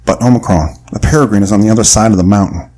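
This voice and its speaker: A man's voice: on the low side, racing, a little mellow, natural voice. A man playing a dutiful marksman in an audio drama, earnest and matter-of-fact as he acts and narrates. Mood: puzzled. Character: Delta, steady dutiful male marksman narrating his own adventure. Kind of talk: audio drama acting and first-person narration.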